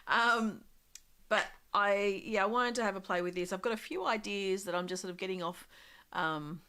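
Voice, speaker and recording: A woman with a slightly croaky voice from a cold, casually demonstrating a craft project live and thinking aloud as she works. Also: medium pitch, moderate pace, pushed and bright, a little hiss or hum. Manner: chatty, thinking aloud with many ums and small laughs.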